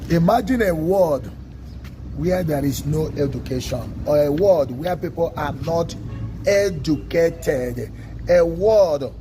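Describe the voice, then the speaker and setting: A man from Nigeria with a Nigerian accent, an Igbo speaker, giving a measured teaching talk on a livestream and often checking in with "okay?". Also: fairly high pitch, lively intonation, noisy background. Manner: measured, stresses single words, asks listeners "okay?". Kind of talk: slow, deliberate teaching talk.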